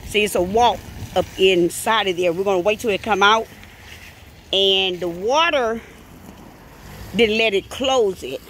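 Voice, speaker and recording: A woman's voice: mid-range voice, unhurried, long pauses, fairly bright tone, a little hiss or hum. A middle-aged woman, chatting casually to her viewers as she walks them through her kitchen and backyard. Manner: chatty, talks straight to viewers. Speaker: vlogger showing her family cookout. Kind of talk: casual vlog walkthrough. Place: home kitchen and backyard, outdoors.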